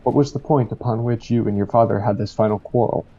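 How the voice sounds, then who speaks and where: A man's voice: lowish voice, fairly even intonation, quick pace, warm tone. A man playing a stern coroner in an amateur audio drama, questioning formally and insistently on a dull recording. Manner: formal, pressing. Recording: dull, muffled lo-fi sound.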